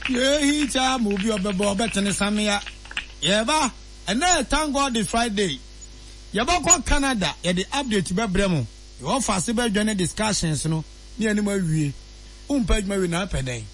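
A man's voice: sits high, lively intonation, moderate pace, fairly bright tone, slight background noise. A man hosting radio and reading ads in a bouncy, playful way. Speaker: radio host.

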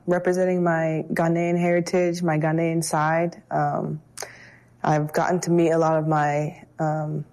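A woman's voice: fairly low pitch, warm tone. A woman footballer giving upbeat, casual interview answers. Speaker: national team footballer.

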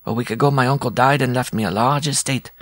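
Man voicing a shrill, proud little dwarf. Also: quick pace, a bit bright, no echo, studio quality, natural voice. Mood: proud, earnest. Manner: grandiose, theatrical, florid. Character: proud, irascible, lovesick little French circus dwarf. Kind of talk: storybook character voice.

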